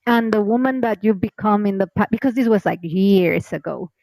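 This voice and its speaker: A woman's voice: medium pitch, moderate pace, creaky, dark tone, dry recording, poor audio. A woman hosting a podcast interview, speaking casually and enthusiastically, often chiming in with short affirmations. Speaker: podcast host.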